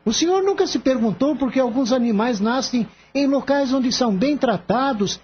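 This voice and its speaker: Brief: a performer as polite young Brazilian male assistant in radio play; earnest, pleading, very expressive, slightly muffled recording. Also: high voice, moderate pace, a little hiss or hum. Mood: earnest, insistent. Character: earnest, gentle young animal-loving male veterinary assistant. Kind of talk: Brazilian radio drama acting.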